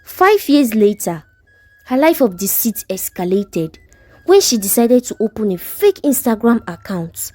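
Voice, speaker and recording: Nigerian woman storyteller, mellow and expressive. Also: on the high side, a little slow, faint music behind. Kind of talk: scripted folktale narration.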